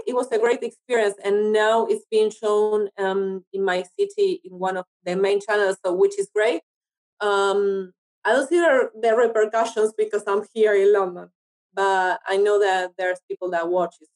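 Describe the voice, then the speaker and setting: A woman's voice: mid-range voice, normal speed, darkish tone, rough recording. A woman from Argentina with an Argentine accent, a native Spanish speaker, talking casually as an interview guest and often searching for her words. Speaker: interview guest, actress and TV producer.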